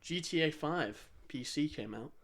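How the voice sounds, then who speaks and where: A man's voice: fairly high pitch, expressive, unhurried, a bit bright. A man co-hosting a podcast in casual banter, rambling and swearing freely with a self-deprecating streak. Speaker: podcast co-host.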